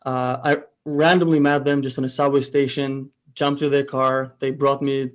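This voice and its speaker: A young man talks through his story in a relaxed, casual way, pausing often to think. His voice sits at a middle pitch for a man. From Ukraine. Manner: relaxed, thoughtful, frequent "uh" pauses. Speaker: guest entrepreneur.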